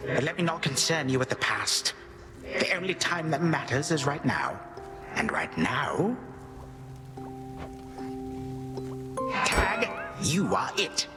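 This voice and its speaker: A man's voice: fairly high pitch, sing-song, measured pace. An actor playing an old titan grandfather of time in a video game, grandiose and wry, with archaic, theatrical phrasing. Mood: playful. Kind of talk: dramatic video game acting. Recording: music behind the voice.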